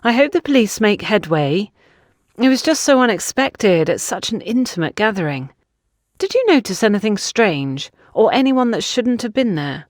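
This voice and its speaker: A woman's voice: mid-range voice, very animated, no room sound, voice pushed up, natural voice. Guarded young heroine read by a woman, tactful and careful; mid-range voice, dry audiobook recording. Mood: musing. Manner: measured, careful, polite.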